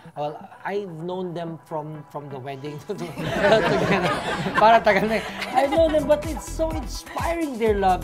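Effusive Filipino male talk show host. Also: sits high. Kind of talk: casual celebrity interview, mixing English and Tagalog. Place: furnished bedroom set, seated on a bed.

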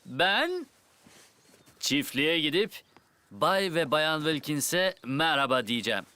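A playful cartoon dad with a bright, forceful voice that swings widely in pitch. He is jovial and easygoing. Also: very animated, takes it slowly, heavily acted. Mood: relaxed, friendly. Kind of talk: children's cartoon dub.

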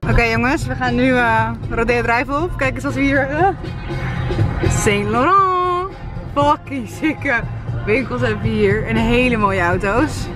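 A young woman from the Netherlands chatting casually and playfully for a travel vlog, excitable and blunt. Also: a little high, a little slow. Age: young adult. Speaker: vlogger. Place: moving car and outdoor streets and viewpoints. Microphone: handheld camera at arm's length. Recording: music over handheld vlog audio. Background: music, car and street noise.

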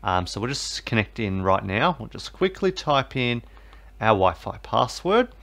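A man's voice: mid-range voice, sing-song, a little slow, vocal fry, fairly bright tone. A man talking viewers through resetting a TV box, rambling and full of fillers. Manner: rambling, filler-heavy, repeats "basically" and "obviously". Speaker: tech tutorial host. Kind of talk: casual how-to voice-over.

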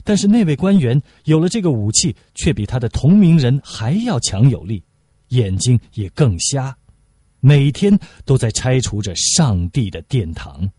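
A man's voice: medium pitch, sing-song, moderate pace, warm tone, husky, dry recording. A man reading literary prose aloud as an audiobook narrator.

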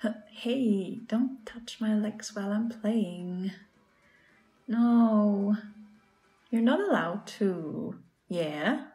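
A woman's voice: mid-range voice, expressive, extremely slow, dark tone, faint music behind. A woman playing a playful, game-obsessed young girlfriend in an ASMR roleplay, talking straight to the listener. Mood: playful, pleading. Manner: playful, pleading, talks to the listener as "babe".

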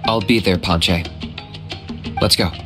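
A man's voice: deep voice, steady tone, slow pace, loud music behind the voice. A man voicing a cool, pragmatic young hunter in a video game, terse and matter-of-fact. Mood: cool, practical.

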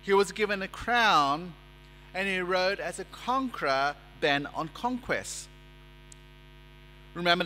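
A man preaches from a lectern in a church, measured and emphatic as he builds his points. His voice sits a little high and sounds bright and ringing. The long reverb of the hall trails each phrase, with a low mains hum underneath. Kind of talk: sermon. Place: church sanctuary, indoors. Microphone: lectern microphone.

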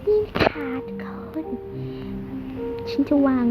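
A young-sounding performer playing a vain, jealous schoolgirl in an amateur animated game skit, exclaiming dramatically. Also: slow, highs cut off, close to an everyday voice. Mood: vain, determined. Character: vain, jealous schoolgirl scheming against a rival classmate. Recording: loud music over voice. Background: loud music.

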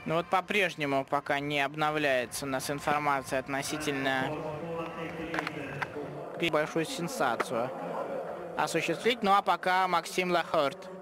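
A man giving live sports commentary in a relaxed, conversational way, often pausing to think aloud. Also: a little high, crowd noise.

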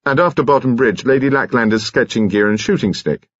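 A British man voicing a courteous, persistent police detective in an audiobook, measured and formal. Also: medium pitch, a bit bright, no echo, muffled recording, pitched above the performer's own voice, lightly acted. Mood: polite, insistent. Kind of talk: audiobook character acting.

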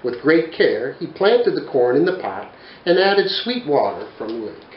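A man's voice: a little high, a little slow, roomy sound, muffled recording, a little hiss or hum. A man in his forties reading a children's picture book aloud in a bookshelf-lined study, telling it expressively. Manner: expressive, animated storytelling. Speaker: storyteller.

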